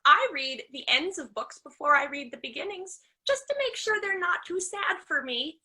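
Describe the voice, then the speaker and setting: A small, earnest storybook character. The voice is squeaky and extremely high, with a piercing bright edge and lively swoops, as in a children's book reading. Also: female voice, normal speed, exaggerated voice. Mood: earnest, cute.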